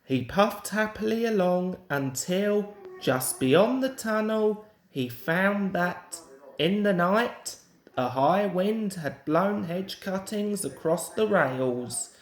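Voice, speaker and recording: A woman's voice: medium pitch, expressive, slow pace, reverberant. A woman narrating a children's storybook aloud as a reader.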